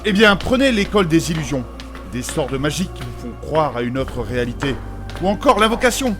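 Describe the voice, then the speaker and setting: A man's voice: fairly high pitch, very animated, brisk. A man playing a pedantic, formal scholar of magic who explains precisely and gets flustered easily in a comedic fantasy audio drama. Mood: explanatory, earnest. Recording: music playing behind the voice. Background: music.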